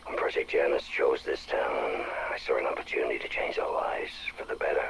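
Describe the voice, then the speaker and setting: A man playing a troubled, principled small-town banker in a video game audio log, confessing haltingly in a hushed, anguished way. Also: rumbling low, level tone, gravelly, whispered. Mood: regretful, bitter.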